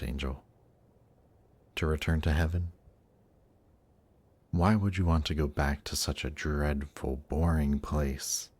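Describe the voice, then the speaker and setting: A man playing a suave demon prince in an intimate audio roleplay, speaking in a slow, seductive, gently coaxing way. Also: rumbling low, heavily acted. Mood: tempting. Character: suave demon prince of Hell, tempting and reassuring. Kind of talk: intimate audio roleplay, scripted monologue to listener.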